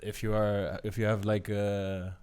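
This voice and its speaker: A man's voice: fairly low pitch, level tone, a little slow, a little mellow, clean tone. A man hosting a podcast, speaking thoughtfully in casual talk and searching for words. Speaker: podcast host. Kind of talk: casual conversation.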